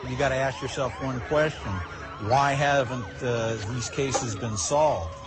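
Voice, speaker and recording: A man giving deliberate, explanatory commentary in short phrases. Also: medium pitch, music in the background. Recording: noisy, with vehicles and sirens behind.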